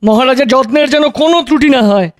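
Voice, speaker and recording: A man's voice: extremely high pitch, racing. A man voicing a blunt spirit attendant to a king in a cartoon, frank and proclaiming. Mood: ceremonial. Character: blunt male ghostly gandharva attendant serving a king. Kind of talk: cartoon voice acting.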